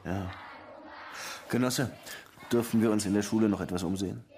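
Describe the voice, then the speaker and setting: Low-voiced, wry police detective played by a man. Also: steady tone, normal speed, mellow, slight background noise, close to an everyday voice. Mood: probing, matter-of-fact. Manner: casual, probing. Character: streetwise, persistent, wry male police detective in Havana. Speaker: actor in a radio crime drama. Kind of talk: naturalistic German radio play dialogue.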